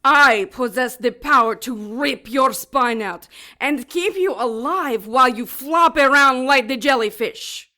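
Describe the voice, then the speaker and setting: An actress playing a taunting, smugly sadistic female demon in an audio drama, making mock-polite threats with deliberate menace. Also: high voice, sharp tone. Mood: threatening.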